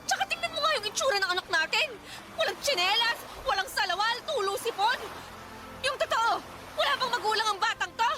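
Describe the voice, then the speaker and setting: Radio drama casting: exasperated young mother, piercingly high voice, scolding and combative, noisy street scene. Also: lively intonation. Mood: furious, scolding. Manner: loud, scolding, rapid-fire rhetorical questions. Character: fierce, exasperated young mother scolding her irresponsible partner. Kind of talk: Tagalog radio drama acting. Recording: noisy street ambience with vehicles.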